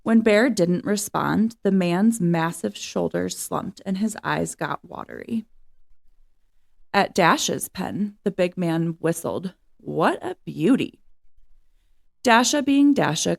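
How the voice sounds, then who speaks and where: Woman reading a story aloud, lower-pitched, creaky. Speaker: reader of a children's book. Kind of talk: scripted story narration, read aloud.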